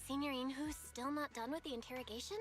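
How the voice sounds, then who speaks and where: A woman's voice: sits high, fairly even intonation, quick pace, in a large hall, soft background music, lightly acted. An actress voicing a practical, caring young woman in a game, speaking matter-of-factly.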